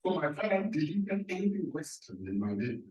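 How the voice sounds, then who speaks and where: A man from Cameroon speaks on a panel, pressing his points with emphatic rhetorical questions. His voice sits a little high. He is picked up from some distance in a roomy, echoing hall. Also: sing-song, moderate pace, a little mellow, lo-fi sound. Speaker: professor on a panel. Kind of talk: panel self-introduction. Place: large echoey hall.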